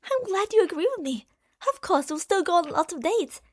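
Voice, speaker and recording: Girl voice actor doing a yandere stalker gf scientist, really high voice, clingy and gushy, hushed then excited, asmr rp. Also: a little fast. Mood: adoring, eager. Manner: doting, gushing, swinging between hushed and excited. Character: obsessive, delusional yandere girlfriend, a genius scientist from another universe. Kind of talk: ASMR roleplay monologue addressed to the listener.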